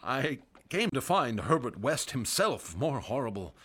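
An actor playing a haunted doctor who narrates gravely and grows excited in scenes. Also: male voice, medium pitch, unhurried, full character voice. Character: frightened male doctor assistant and narrator. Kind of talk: radio drama narration.

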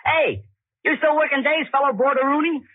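An actor playing an easygoing jazz musician who drawls loose hipster slang in 1940s radio style. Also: male voice, extremely high pitch, moderate pace, phone-quality sound. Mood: breezy, tipsy-loose. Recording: thin 1940s radio recording.

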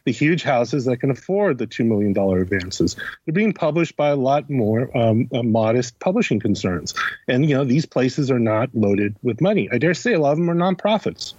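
A man's voice: medium pitch, expressive, normal speed, a little mellow, slightly rough, dry recording. Dry, slightly muffled man explaining things conversationally. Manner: emphatic, repeats himself, often checks with "you know" and "right?". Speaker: podcast guest, critic and editor.

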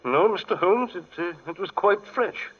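An actor playing an excitable, fussy English gentleman archaeologist in 1940s radio style, often hesitant, with an English accent. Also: high-pitched, normal speed. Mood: apologetic. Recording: muffled 1940s radio broadcast.

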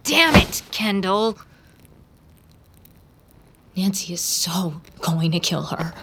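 An actress in an audio drama playing an anxious, impulsive young woman, agitated and halting, as if muttering to herself. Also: lowish voice, expressive, fairly bright tone, slight background noise, exaggerated voice. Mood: frustrated. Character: anxious, impulsive young woman determined to stop a former friend. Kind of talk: audio drama acting with inner monologue.

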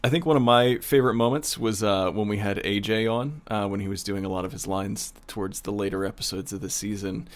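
A man with a slightly low voice speaks as a producer and co-host. His talk is casual and conversational, with an enthusiastic way of looking back on the work. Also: fairly even intonation, fairly quick, hardly any pauses. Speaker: producer and co-host of an audio drama podcast. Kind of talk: casual behind-the-scenes chat.

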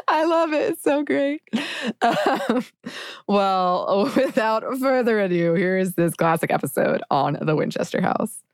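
A woman's voice: mid-range voice, sing-song, a little slow, clean tone. A young adult woman co-hosting a podcast, chatting casually and laughing often. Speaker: podcast co-host.

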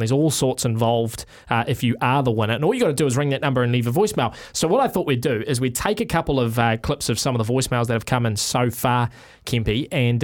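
A man's voice: mid-range voice, darkish tone. A man with a New Zealand accent hosting a sports radio show in casual, bantering style.

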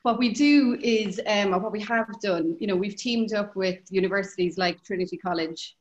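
A woman talks easily about her startup journey, her voice sitting at an ordinary middle pitch. She repeats words and fills pauses with "um" and "you know" as she thinks aloud. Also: dull audio. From Ireland. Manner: chatty, repeats words, many fillers. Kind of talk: casual conversation.

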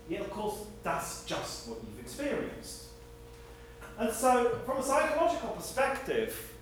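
Animated Swiss-raised man giving a lecture. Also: a little high, husky. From Switzerland. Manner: animated, emphatic lecturing with restarts. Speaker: guest speaker. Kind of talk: public lecture.

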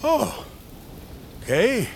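An actor playing a timid, deferential attendant, hesitant and tentative, in a fantasy audio drama. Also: male voice, extremely high pitch, wildly swooping pitch, very slow, noisy background, exaggerated voice. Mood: nervous, cautious. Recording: music clearly underneath.

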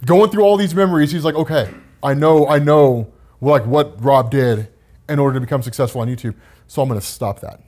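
Husky-voiced man spinning goofy stories. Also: medium pitch, brisk. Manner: animated, laughs often, playfully defensive. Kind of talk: casual banter, improvising stories.

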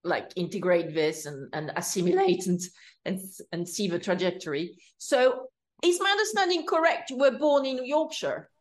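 A woman's voice: mid-range voice, animated, moderate pace. A woman hosting her podcast in casual interview style, speaking hesitantly with many fillers and restarts. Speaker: podcast host. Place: home in London.

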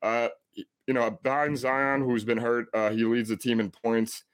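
A man with a deep voice giving NBA player picks, hesitant with many uhs and ums. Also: little pitch movement, moderate pace, clean tone. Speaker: sports betting analyst.

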